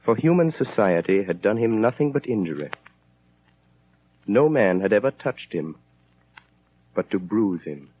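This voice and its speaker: A man's voice: fairly low pitch, big pitch swings, a little slow, pauses often, breathy edge. A man narrating a 1930s radio drama in a grave, solemn, declamatory style. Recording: dull 1930s radio recording.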